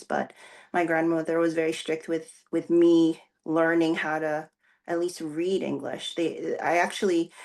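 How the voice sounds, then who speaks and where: A woman's voice: lowish voice, gravelly, a little mellow. A woman in her forties, raised in the Philippines with Tagalog as her first language, answering interview questions as a pastor in a thoughtful way, with many fillers and restarts. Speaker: executive pastor, guest.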